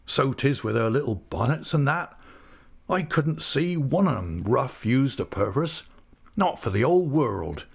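Man voicing a hearty, lively rustic old fisherman. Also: medium pitch, unhurried, gravelly, slightly rough, pitched above the performer's own voice, exaggerated voice. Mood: beaming affection. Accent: rural English dialect. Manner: jovial, earnest, homely speech.

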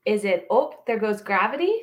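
A woman's voice: mid-range voice, expressive, normal speed, reverberant. A young woman with a Midwestern accent co-hosting a podcast, rambling casually with lots of fillers. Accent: Midwestern American. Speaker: podcast co-host. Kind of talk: casual chat.

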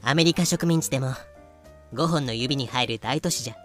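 A woman's voice: very deep voice, a little fast, faint music behind. Woman acting a haughty, old-fashioned merchant lady. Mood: proud, instructive. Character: proud, archaic-talking female merchant from colonial Carolina. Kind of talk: in-character explanation in a voice drama.

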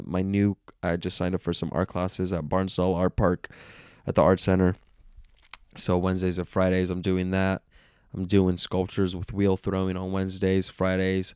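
Congested, nasal man with a low gravelly voice. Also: a little mellow, no room sound, studio quality. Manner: rambling, self-aware, restarts often. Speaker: podcast host. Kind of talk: casual solo podcast monologue.

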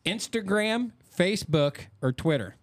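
A man's voice: sits high, expressive, measured pace, dry recording. A man hosting a podcast, running a casual quiz with teasing, playfully bossy banter. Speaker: podcast host.

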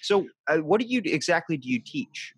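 A man's voice: a little high, big pitch swings, fairly quick, breathy edge, no room sound. A man hosting a podcast interview, loose, rambling and jokey in casual chat. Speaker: podcast host.

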